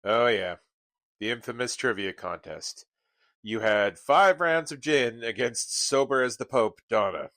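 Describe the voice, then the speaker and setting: Radio comedy: wry, skeptical male sidekick, played by an actor, unhurried, animated intonation, dry close recording. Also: mid-range voice, no room sound. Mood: teasing. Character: skeptical, reluctant male buddy, wry straight man. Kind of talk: comedic radio play acting.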